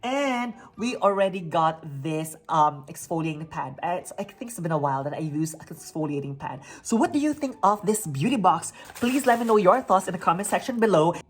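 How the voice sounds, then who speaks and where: A woman's voice: on the low side, lively intonation, brisk, few pauses, husky. A woman in her forties hosting a beauty unboxing vlog, bubbly and upbeat, reading product labels aloud from her home. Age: 40s. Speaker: beauty vlogger. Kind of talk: unboxing review. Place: home near a busy street.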